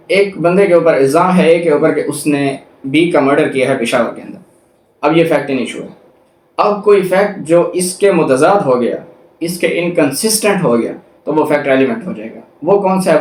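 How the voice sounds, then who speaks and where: A man with a Pakistani accent giving an explanatory law lecture, going through it step by step. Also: on the high side. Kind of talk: explanatory lecture, reading articles aloud and explaining them. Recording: echoey room, distant microphone.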